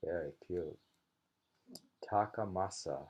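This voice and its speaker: A man's voice: low voice, slow, a little mellow, breathy edge. A man reading haiku aloud, repeating lines and musing on them in casual commentary. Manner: musing, repeats each poem. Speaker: reader and host of a poetry reading.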